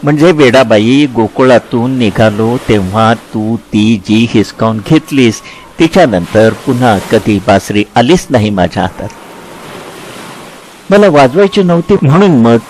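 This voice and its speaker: A man plays an affectionate old flame in a literary drama. He talks tenderly and teasingly, at a middle pitch, with faint ambient noise behind him. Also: expressive, unhurried, darkish tone, natural voice, rough recording. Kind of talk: dramatic literary story reading.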